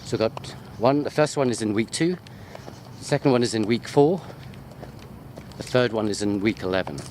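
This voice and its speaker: A man's voice: lowish voice, moderate pace, darkish tone. A man chatting casually and off the cuff as a lecturer filming himself on a walk, jokey and easily sidetracked. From United Kingdom. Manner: rambling, easily distracted, jokey. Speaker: university lecturer. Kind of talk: casual unscripted walking video chat. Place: outdoors, walking through botanical gardens. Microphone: handheld wide-angle sports camera. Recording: outdoor ambient noise while walking.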